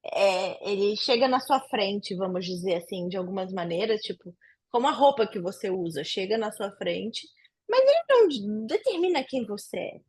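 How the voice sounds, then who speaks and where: A woman's voice: medium pitch, very animated, normal speed, darkish tone, breathy edge, no echo. A woman hosting a podcast, chiming in with supportive, encouraging remarks. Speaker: podcast host. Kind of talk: podcast interview.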